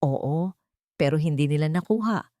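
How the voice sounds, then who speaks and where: A woman's voice: sits low, normal speed, warm tone, no room sound, clean studio sound, lightly acted. A woman voicing a terrified, breathless older woman giving urgent warnings in an audio story.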